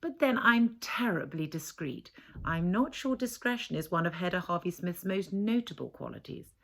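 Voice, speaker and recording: A woman's voice: fairly low pitch, animated, moderate pace, gravelly, slight background noise. A woman voicing a snobbish, competitive wife in a novel reading, genteel, airy and patronising. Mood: haughty, boastful. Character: snobbish, competitive, self-satisfied village queen bee wife.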